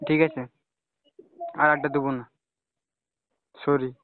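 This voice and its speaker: Young male caller, slightly high voice, casual and questioning, on a dry lo-fi phone recording. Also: little pitch movement, slow pace, a little hiss or hum. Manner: repeatedly checking with questioning tags. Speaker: caller talking to a romantic partner. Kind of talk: casual private phone conversation. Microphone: phone call. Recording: dull, lo-fi phone call recording.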